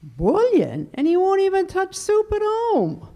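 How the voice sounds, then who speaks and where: A woman's voice: very high-pitched, huge pitch swings, warm tone, full character voice. A woman playing a scolding, grumbling working-class English housekeeper in a live radio comedy-mystery. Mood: indignant, muttering. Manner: grumbling, dialectal, drawn-out. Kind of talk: old-time radio drama acting on stage.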